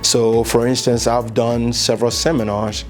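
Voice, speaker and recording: A middle-aged man from Liberia, talking earnestly about his insurance business, measured and with frequent fillers. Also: medium pitch, little pitch movement, soft background music. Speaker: insurance agent. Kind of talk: business promo interview.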